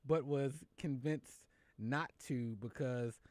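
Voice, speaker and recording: Male co-host, middle pitch, dry close mic, casual and animated, restarts when excited. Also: slow pace, no echo. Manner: animated, laughs often, stammers when excited. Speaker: show host. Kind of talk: casual news chat.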